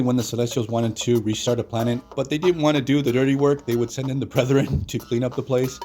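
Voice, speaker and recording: A hoarse man hosting his own channel, retelling a comic story in a loose, chatty way with lots of fillers. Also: mid-range voice, a little mellow. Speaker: comic book channel host. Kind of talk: casual solo video commentary.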